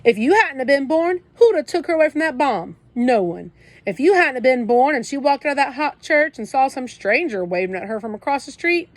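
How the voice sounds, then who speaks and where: A woman's voice: high-pitched, no echo, pitched above the performer's own voice. A woman voicing a tough teenage big brother who talks in blunt slang, scolding and protective at once. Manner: streetwise slang, scolding then comforting.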